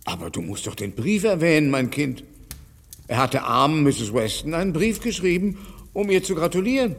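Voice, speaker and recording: A man's voice: a little high, very animated, normal speed, gravelly, big-room sound, heavily acted. An actor playing an anxious, fussy elderly father who worries and rambles in a radio play. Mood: fretful. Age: older.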